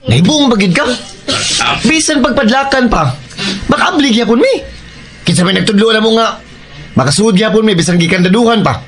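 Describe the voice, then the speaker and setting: Pilyong batang kawatan, matinis ang boses. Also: male voice, sits high, expressive, moderate pace, long pauses. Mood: cheeky. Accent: Cebuano. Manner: boastful, teasing. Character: cheeky young male street thief, teasing his boss. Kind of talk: Cebuano radio drama acting. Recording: radio drama with music underneath.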